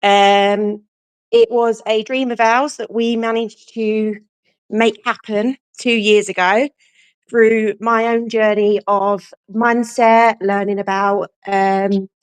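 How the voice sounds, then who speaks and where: A woman's voice: mid-range voice, level tone, slow pace, clean tone, no room sound. A woman, friendly and a little unsure, introducing herself on a video call. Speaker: kennel owner and hypnotherapist.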